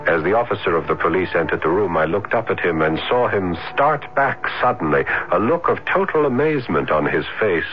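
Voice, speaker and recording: Deep-voiced haunted student. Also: male voice, lively intonation, a little fast, hardly any pauses, lo-fi sound, a little hiss or hum. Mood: grief-stricken. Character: haunted young male student narrating his doomed love. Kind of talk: dramatic radio horror narration.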